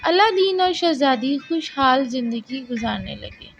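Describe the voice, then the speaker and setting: A woman's voice: high voice, animated, a little slow, creaky. A woman reading a scripted children's story as the storyteller. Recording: slightly dull, lo-fi narration track over music. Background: soft background music.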